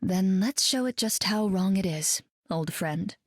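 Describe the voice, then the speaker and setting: An actress playing a gentle, earnest psychic heroine in a game. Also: female voice, medium pitch, expressive, a little slow, no echo, clean studio sound. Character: warm psychic heroine woman.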